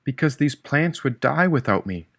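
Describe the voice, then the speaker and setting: Man putting on an eager, higher-pitched girl. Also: very animated, normal speed, gravelly, fairly bright tone, full character voice. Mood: tender. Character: eager, secretive plant-tending girl. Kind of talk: audiobook character acting.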